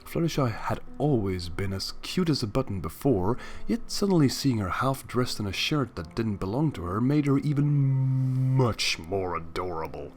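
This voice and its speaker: Animated male story narrator. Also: mid-range voice. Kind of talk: dramatic first-person fan fiction reading.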